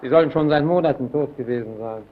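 An actor playing a guarded, brooding lighthouse technician in an old radio play, terse, evasive and defensive. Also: male voice, mid-range voice, fast talker, natural voice. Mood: reticent, uneasy. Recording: dull, noisy old radio recording.

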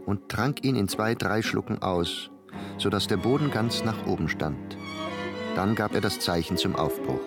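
Low-voiced male literary narrator over music. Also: measured pace. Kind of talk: scripted literary narration in radio play. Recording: prominent music behind narration.

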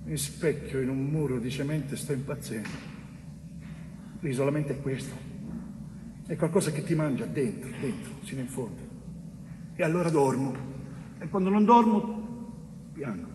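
An actor playing an anguished young mason, wrongly jailed, in an urgent, repetitive and pleading theatre monologue. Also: male voice, medium pitch, lively intonation, normal speed, long pauses, lightly acted. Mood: desolate, hushed. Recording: echoey hall, distant mic, faint noise.

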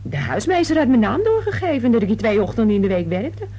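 A woman's voice: fairly high pitch, big pitch swings, fast, mellow, lo-fi sound, slight background noise. An actress playing a gabby, indignant young working-class cleaning girl in a radio play, talking colloquially.

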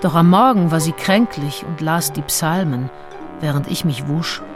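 An actress playing a self-absorbed, unsentimental 19th-century gentlewoman who reads from her diary in a radio drama. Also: sits low, moderate pace, music in the background, natural voice. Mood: detached, dry. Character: Anne Lister, self-absorbed, coolly candid 19th-century English gentlewoman diarist.

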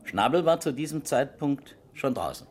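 An actor playing a businesslike police detective in a German radio crime drama, asking short, formal questions. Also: male voice, medium pitch, very animated, moderate pace, natural voice. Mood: probing.

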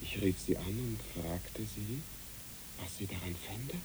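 A man's voice: low voice, a little slow, noisy background. An actor playing a dutiful soldier who reports formally and poetically to his captain in a radio play. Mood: earnest reporting. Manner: formal report turning lyrical. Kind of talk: literary radio play acting.

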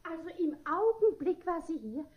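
An actress playing an excitable, quick-witted servant maid in a comic radio play, with a hurried, breezy manner. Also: female voice, very high voice. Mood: brisk, urgent. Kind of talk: German radio play comedy acting. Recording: muffled radio drama recording.

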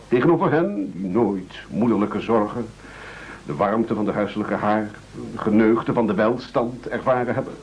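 An actor playing a pompous, self-pitying castaway chief who wheedles and orates in a theatrical radio play. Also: male voice, medium pitch, a little mellow, lo-fi sound, slight background noise. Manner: bombastic, oratorical, self-pitying.

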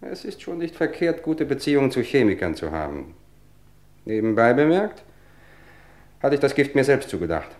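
Casting: male actor for a smug, cultivated poisoner, suave and mocking, measured delivery, vintage radio crime play. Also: medium pitch, big pitch swings, a little mellow, lightly acted. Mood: coolly boastful. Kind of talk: vintage German radio crime drama acting.

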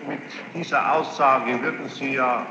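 A man speaking as a presiding judge, questioning a witness in a stern, insistent, formal and halting way. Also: medium pitch. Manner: formal, long-winded, halting sentences. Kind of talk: courtroom questioning. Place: large reverberant courtroom. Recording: muffled, noisy, echoey archival courtroom tape. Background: clear tape noise and room ambience.

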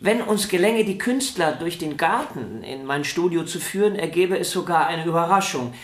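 The voice of a vain, proud young violin prodigy, acted by a woman in a radio play. It sits a little low and argues in an arrogant, insistent, theatrical way. A roomy studio echo hangs around it. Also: lively intonation, moderate pace, close to an everyday voice. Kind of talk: radio drama acting.

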